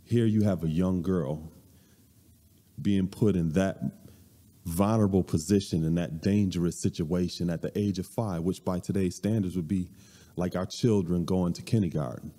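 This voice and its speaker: A man with a low voice and a very dark, mellow tone gives a conversational, measured church talk with plenty of ums. He speaks into a stand mic a few steps away. The large hall lays a long reverb over every word. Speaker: guest speaker. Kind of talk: lecture at a church service. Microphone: stand mic at a few steps, far camera.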